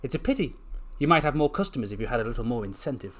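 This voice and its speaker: A man's voice: mid-range voice, very animated, very fast. A man voicing a cheeky female investigator in a story, with a chirpy, casual, inquisitive manner. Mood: breezy.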